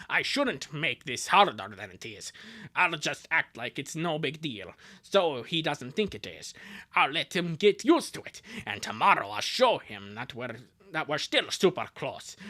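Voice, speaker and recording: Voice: medium pitch, big pitch swings, unhurried, bright, forceful tone, exaggerated voice. A performer voicing a caring, protective big-sister girl in an earnest cartoon fan dub. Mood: wistful.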